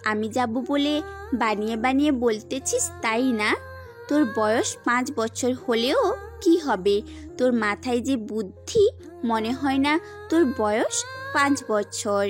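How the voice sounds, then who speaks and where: A woman acts a young big sister in an audio story, high-pitched, teasing and mock-scolding. Music runs clearly under her voice. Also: expressive, slow pace.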